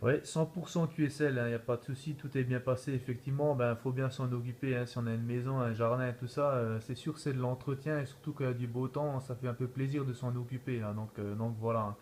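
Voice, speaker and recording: A man's voice: medium pitch, fairly even intonation, fast talker, hardly any pauses, a little mellow. Easygoing guy chatting on cb radio, lots of little tag words, noisy background. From France. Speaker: amateur CB radio operator. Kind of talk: casual radio contact chat.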